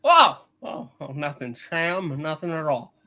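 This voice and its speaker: A man's voice: on the high side, very animated, unhurried, sharp tone, full character voice. A man playing an earnest, restless, dreamy young small-town man in a hammed-up radio play reading, eager and theatrical. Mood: flustered.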